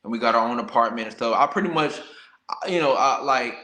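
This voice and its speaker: Bright-voiced American man in a roomy space. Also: mid-range voice, quick pace. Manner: candid, colloquial, peppered with 'you know what I'm saying'. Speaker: professional boxer, podcast guest. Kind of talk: casual personal storytelling in an interview. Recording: roomy, echoey sound.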